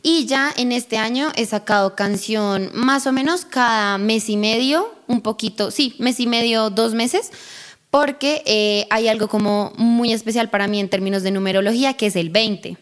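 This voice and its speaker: A woman's voice: on the high side, moderate pace. A woman in her twenties with a Bogotá accent, a singer being interviewed, talking in a bubbly, rambling way with laughs. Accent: Bogotá Spanish. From Colombia. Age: 20s. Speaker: singer guest. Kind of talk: casual interview.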